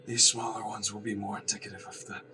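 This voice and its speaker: An actor in a radio drama playing an anxious, obsessive nineteenth-century doctor who mutters haltingly to himself. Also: male voice, fairly low pitch, level tone, lightly acted. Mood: agitated self-reproach. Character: agitated, obsessive 19th-century German male doctor. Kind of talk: radio drama acting, monologue and journal narration. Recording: distant miking, music underneath.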